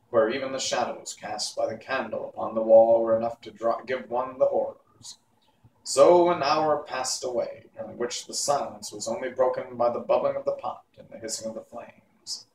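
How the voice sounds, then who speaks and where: A man reading a classic children's book aloud in an unhurried, expressive storytelling manner. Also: mid-range voice, vocal fry. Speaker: audiobook reader. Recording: echoey room, distant mic, faint hiss.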